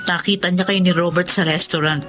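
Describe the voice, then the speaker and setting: A woman's voice: lowish voice, steady tone, fairly quick, fairly bright tone, faint music behind, lightly acted. A woman playing a firm, sensible elder sister, admonishing in Filipino drama style. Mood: firm, reassuring. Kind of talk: Filipino radio drama acting.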